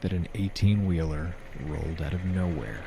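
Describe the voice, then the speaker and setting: An actor playing an uneasy, wry traveler, narrating a science fiction audio drama in a deliberate, self-mocking storytelling manner. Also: male voice, deep voice, steady tone, vocal fry, noisy background. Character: uneasy, wry male traveler narrating a simulation-hopping adventure. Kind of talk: scripted first-person audio drama narration.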